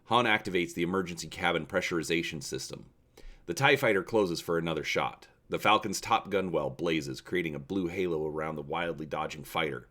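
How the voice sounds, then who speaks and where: A man hosting and narrating a storybook read-through, speaking with expressive, emphatic presenter delivery. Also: sits low, a little fast. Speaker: channel host and story reader.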